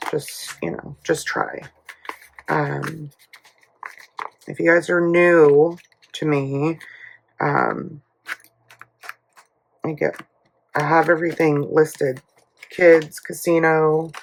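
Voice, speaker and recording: A hoarse woman telling a rambling family story in a casual budgeting vlog, full of fillers and asides. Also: low-pitched, slight background noise, rough recording. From United States. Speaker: budgeting YouTuber. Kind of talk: casual chatty vlog while cash stuffing.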